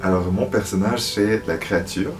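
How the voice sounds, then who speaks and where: A man's voice: fairly low pitch, normal speed, recorded from a distance, music in the background. A man giving reflective interview answers as a guest, hesitant and searching for words. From Switzerland (Lausanne), born in Paris. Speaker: musical theatre actor, interview guest.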